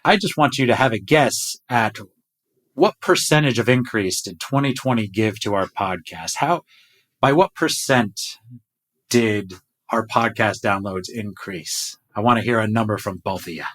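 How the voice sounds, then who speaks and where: A man's voice: fairly low pitch, lively intonation, normal speed, a bit bright. Man, middle-aged, podcast host, casual chat, upbeat, video call. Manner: enthusiastic, announcing numbers to the team.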